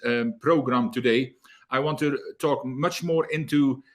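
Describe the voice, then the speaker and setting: An energetic man speaking as a leadership coach in an online talk, often repeating words as he gathers his thoughts. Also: medium pitch, a little slow. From South Africa.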